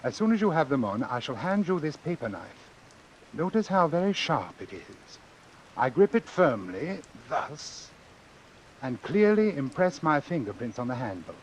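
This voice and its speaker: An actor playing a cold, calculating doctor in a vintage suspense radio drama, speaking in a formal, precise, measured way. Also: male voice, fairly high pitch, sing-song, poor audio. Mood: calm, persuasive. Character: cold, calculating male doctor obsessed with his experiment. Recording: hissy old radio recording.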